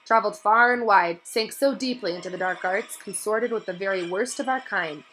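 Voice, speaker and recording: A woman voicing a kindly old male wizard headmaster in a storybook, speaking softly, thoughtfully and with measure. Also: mid-range voice, normal speed, lightly acted, low-quality recording. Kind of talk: audiobook-style character reading. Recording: music behind the voice.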